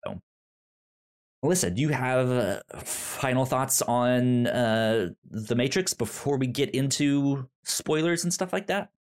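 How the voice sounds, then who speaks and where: A man's voice: mid-range voice, animated. Stammering man hosting a chatty podcast. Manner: halting, many fillers and stammered restarts. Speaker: podcast host. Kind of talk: casual chat.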